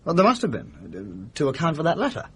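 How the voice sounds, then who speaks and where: An actor playing a troubled, well-bred Englishman in a radio drama, polite, halting and defensive. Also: fairly high pitch, wildly swooping pitch, fairly bright tone, breathy edge, full character voice. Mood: shaken, hesitant.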